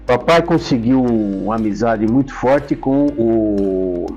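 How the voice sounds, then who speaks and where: Older male, Brazilian accent, mellow, conversational storyteller with emphatic repeats; slightly dull sound, music underneath. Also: mid-range voice, lively intonation, slow. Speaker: interview guest.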